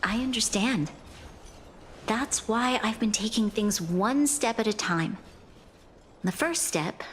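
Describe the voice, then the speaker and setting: A woman's voice: a little high, slight background noise. An actress playing a composed, earnest young female city leader in a video game, speaking formally and diplomatically. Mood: resolute. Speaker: game character, guardian leader. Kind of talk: scripted video game voice acting. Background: game music.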